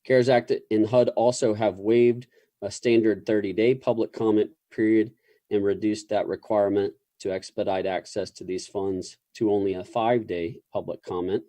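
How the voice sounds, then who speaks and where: A man giving a formal government presentation as a public official, in measured, bureaucratic and slightly hesitant phrasing. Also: fairly low pitch, steady tone, warm tone, no echo.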